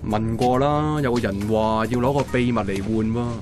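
A man's voice: mid-range voice, normal speed, natural voice. Man acting a casual young Hong Kong love interest over music. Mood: probing. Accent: Hong Kong Cantonese. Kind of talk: radio drama dialogue.

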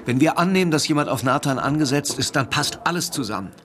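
An actor playing an agitated police investigator in a German radio drama, arguing urgently and persuasively. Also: male voice, on the high side, expressive, fast, fairly bright tone, a little hiss or hum. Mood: insistent.

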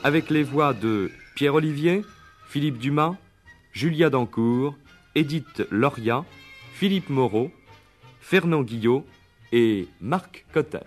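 A man announcing in a formal, deliberate way, reading scripted credits in a vintage radio broadcast. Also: medium pitch, animated. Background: music.

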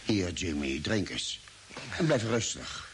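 An actor playing a cautious, caring expedition doctor in an old radio play. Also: male voice, sits low, slow pace, vocal fry, exaggerated voice. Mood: soothing. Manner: calm, reassuring, cautioning. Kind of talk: mid-20th-century Dutch radio play acting. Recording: hissy old radio drama recording.

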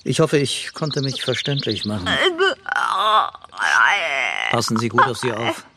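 An actor playing a remorseful, awkward police inspector, hesitant and apologetic, in a radio crime play. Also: male voice, mid-range voice, a little slow, very noisy, natural voice. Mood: pleading, apologetic. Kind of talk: German radio play acting. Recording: loud outdoor birdsong behind.

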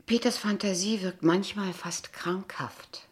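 A woman playing a polite but insistent, quietly disapproving kindergarten teacher in a radio play, asking formal, probing questions. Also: medium pitch, fairly even intonation, slow pace, lightly acted. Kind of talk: dialogue radio play acting in standard German.

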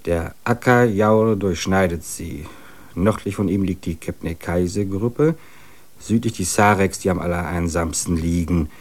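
An earnest man around forty in a literary radio play. His voice sits low, and he reads his letters in a measured, serious way, longing for wild places. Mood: matter-of-fact planning. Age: 40s.

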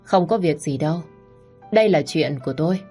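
Woman doing a calm old-time doctor. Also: low voice, brisk, faint music behind. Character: calm male country doctor.

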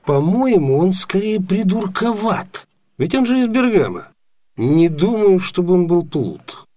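Mellow, animated knowing innkeeper. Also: male voice, high voice, measured pace. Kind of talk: theatrical comic radio play acting.

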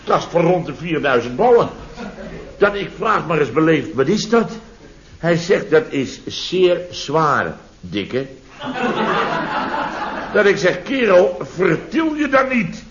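A man's voice: fairly high pitch, sing-song, slow pace, pauses often, fairly bright tone, reverberant, full character voice. A man playing a blustering, sarcastic middle-aged contractor boss in a vintage radio comedy, repeating himself indignantly. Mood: sarcastic outrage. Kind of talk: 1970s Dutch radio comedy acting. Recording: dull vintage radio recording.

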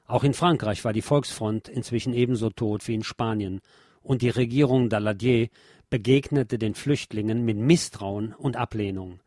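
A man's voice: lowish voice, a little mellow, no echo. Solemn male documentary narrator, dry sound. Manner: measured, solemn literary reading.

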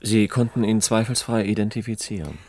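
An actor in a 1970s German radio play, playing a terse, probing male interrogator with a husky voice. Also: lowish voice, fairly even intonation, fairly quick, darkish tone, no echo, close to an everyday voice.

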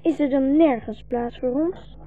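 A woman's voice: high voice, measured pace, vocal fry, soft background music. A woman playing a hungry, trusting young refugee boy, childlike and earnest, in a 1960s Dutch radio drama. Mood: plaintive. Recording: dull, muffled 1960s radio recording.

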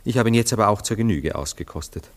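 An actor playing a self-dramatizing, sentimental Viennese bachelor with two lovers, effusive and drawn-out, in a German radio drama. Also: male voice, fairly low pitch, animated. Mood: self-pitying, excited. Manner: effusive, with swooping emphasis and drawn-out words.

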